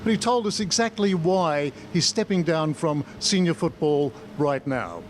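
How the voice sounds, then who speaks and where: A man's voice: a little high, animated, unhurried, a little hiss or hum. An Australian man presenting sports news and putting questions as a television reporter.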